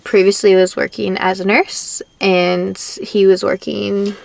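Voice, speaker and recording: A woman's voice: mid-range voice, flat delivery, a little slow, vocal fry, no echo. A woman in her twenties with a Minnesotan accent, chatting casually as a vlogger. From Minnesota, United States. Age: 20s. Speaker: content creator and vlogger. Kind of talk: casual couple chat.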